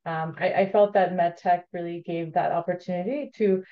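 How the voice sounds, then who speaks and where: Low-voiced woman founder on a call. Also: steady tone. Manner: measured, many ums and uhs. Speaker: startup CEO and co-founder. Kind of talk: webinar panel talk. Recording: lo-fi video call audio.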